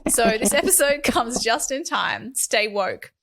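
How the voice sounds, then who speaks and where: A woman's voice: on the high side, big pitch swings, a little slow, a bit bright. Laughing sarcastic Australian woman. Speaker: podcast co-host. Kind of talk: casual political chat. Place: small room at home. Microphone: large studio mic on desk.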